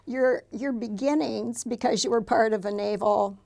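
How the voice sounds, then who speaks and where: A woman's voice: fairly high pitch, normal speed, creaky, a little mellow. An American woman hosting an interview, asking questions in a conversational style. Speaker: interviewer, host.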